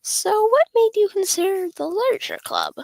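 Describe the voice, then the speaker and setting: A woman's voice: extremely high pitch, huge pitch swings, moderate pace, gravelly, a little mellow, no echo, voice pushed up, full character voice. A woman voicing a confident, friendly teenage girl club president from a visual novel, encouraging and reassuring. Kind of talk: visual novel character read on stream.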